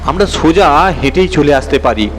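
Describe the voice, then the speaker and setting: A man's voice: on the high side, lively intonation, normal speed, fairly bright tone. A man voicing an eerie, insistent and oddly cheerful nephew in a horror story. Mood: eerily cheerful. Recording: long reverb, background music.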